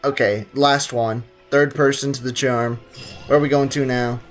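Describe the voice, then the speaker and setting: Joking sarcastic young streamer. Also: male voice, mid-range voice, steady tone, moderate pace, soft background music. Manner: joking, sarcastic, laughs often. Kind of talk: gameplay livestream commentary.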